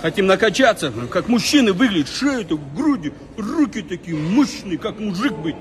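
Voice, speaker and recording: A man's voice: sits high, big pitch swings, normal speed, background noise. A man in his thirties acting in a comedy sketch, pushy and nagging. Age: 30s.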